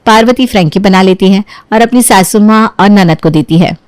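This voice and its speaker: A woman's voice: mid-range voice, moderate pace, clean tone, no room sound, clean studio sound. A woman narrating a scripted story as a storyteller.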